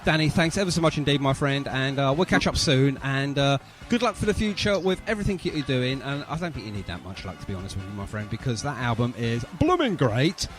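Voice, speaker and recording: A man's voice: mid-range voice, big pitch swings, fairly quick, hardly any pauses, soft background music. A man hosting a casual, chatty radio interview, effusive with praise and full of jokey banter. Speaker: radio host.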